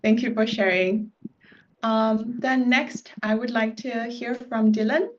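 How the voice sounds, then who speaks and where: Woman running an online quiz on a video call, kinda high voice, slow and chatty with lots of ums, muffled call audio. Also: a little hiss or hum. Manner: relaxed and chatty, with many fillers. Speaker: quiz host. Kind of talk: hosting a live online quiz.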